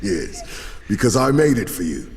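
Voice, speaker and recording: An actor playing a steady, cautious warrior companion in a fantasy audio drama, measured and understated with dry humour. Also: male voice, on the low side, slight background noise. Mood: calm, reassuring. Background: faint music.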